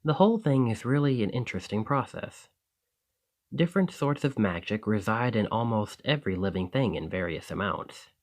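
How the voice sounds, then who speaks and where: A man's voice: fairly low pitch, lively intonation, moderate pace, a little mellow. A man playing a friendly, earnest, easily flustered alchemist in an ASMR roleplay, talking conversationally to the listener. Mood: enthusiastic explaining. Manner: conversational, enthusiastic, hesitant when embarrassed.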